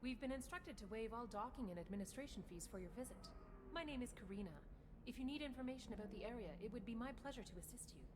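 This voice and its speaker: An actress playing a courteous, formal port concierge in a video game, polished and deferential. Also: female voice, fairly high pitch, lively intonation, fast, close to an everyday voice. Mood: welcoming. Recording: long reverb, noisy hall ambience.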